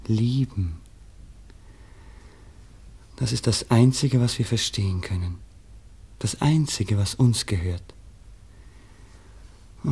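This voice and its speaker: An actor playing a tender, evasive, melancholy young gentleman in a 1960s radio play, with a Viennese accent and a husky, breathy voice. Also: on the low side, heavily acted. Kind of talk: 1960s German-language radio play acting.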